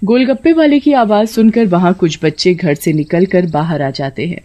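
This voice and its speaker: A woman's voice: lowish voice, moderate pace, dark tone. A woman storyteller reading scripted narration for a tale.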